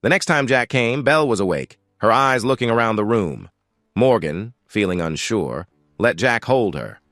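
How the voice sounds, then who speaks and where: A man with a low voice narrates a scripted audio drama in a steady, measured way. His pitch moves a great deal through each sentence, and the close mic gives a dry, dead sound with no room around it. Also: dry recording.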